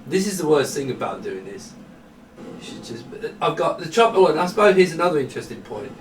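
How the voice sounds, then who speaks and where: A man's voice: a little high, big pitch swings, fairly quick. A man giving a casual, unscripted hardware demo, rambling and thinking aloud in a self-deprecating way. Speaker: hardware designer demonstrating a product. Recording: roomy, distant mic, faint noise.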